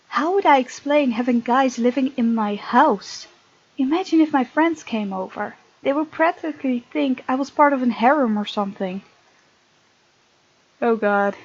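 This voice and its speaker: A woman's voice: on the high side, darkish tone, muffled recording. A woman hosting a gaming video, reading visual novel text aloud with chatty, giggly commentary.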